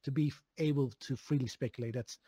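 A man from Sweden with a Swedish accent, chatting casually as an interview guest, relaxed, with many fillers and chuckles. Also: medium pitch, fast talker, slightly rough, no room sound, clean studio sound. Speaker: video game composer, guest.

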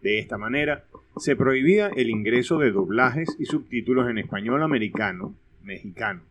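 A man with a Venezuelan accent giving scripted educational narration in a measured, explanatory way. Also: medium pitch, lively intonation, fairly bright tone.

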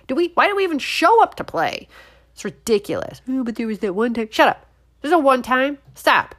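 A woman in her thirties, congested and nasal, hosting a casual opinion monologue with loud outbursts and muttered asides. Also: a little high. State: congested, sniffling. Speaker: podcast host.

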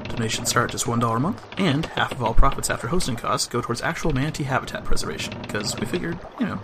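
A man racing through a scripted podcast intro at a very quick pace, with a dry, wry touch. Noise sits clearly behind him. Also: medium pitch, lively intonation. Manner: brisk, wry. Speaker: podcast announcer. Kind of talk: read intro disclaimer. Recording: noticeable background noise.

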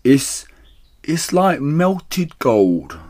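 A man plays a boisterous storybook badger, greedy and effusive. The words come out loud and emphatic, stretched slowly with swooping pitch. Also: very animated, heavily acted. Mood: blissful.

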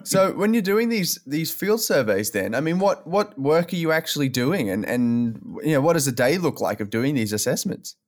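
A man's voice: fairly high pitch, very animated, normal speed, hardly any pauses. A man co-hosting a podcast, introducing the episode and asking curious, conversational questions. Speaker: podcast co-host.

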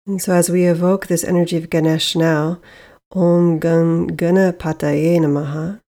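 Female meditation guide, lowish voice with a dark, relaxed tone, measured and soothing delivery, plenty of pauses. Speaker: meditation guide, podcast host.

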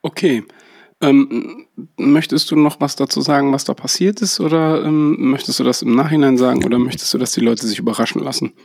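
A man's voice: mid-range voice, a little fast, creaky, a little mellow, slightly rough, no echo, studio quality. A man with a cold hosting a casual podcast chat, hesitant and full of fillers. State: has a cold. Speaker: podcast host. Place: holiday house.

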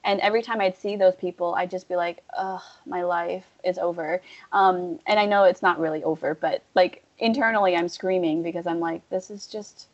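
A young woman talking casually and at length, full of fillers, turning animated when she quotes other people. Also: fairly low pitch, normal speed, hardly any pauses. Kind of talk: casual conversation. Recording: dull lo-fi audio.

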